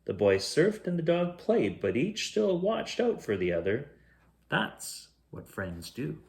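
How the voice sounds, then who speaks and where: Man reading a bedtime story, lively intonation. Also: on the high side, slow, creaky. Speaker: bedtime story reader, host. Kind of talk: reading a children's picture book aloud.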